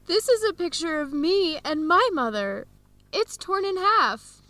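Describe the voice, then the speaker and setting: A woman playing a compassionate young student and loyal friend, consoling and emotionally expressive, in an educational radio drama. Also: very high voice, unhurried, a little hiss or hum, heavily acted. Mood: stunned, emotional.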